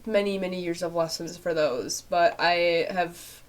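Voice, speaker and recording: A woman's voice: on the low side, steady tone, a little slow. A young woman with a nasal, congested voice, chatting casually to her webcam as she answers viewer questions at home. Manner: chatty, rambling, frequent fillers and laughs. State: nasal and congested after illness. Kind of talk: casual vlog chat. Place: small bedroom at home, indoors.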